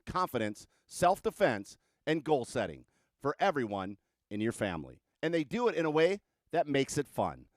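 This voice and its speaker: A man's voice: on the high side, no room sound, clean studio sound. A man with an American accent delivering a scripted radio advertisement as an announcer.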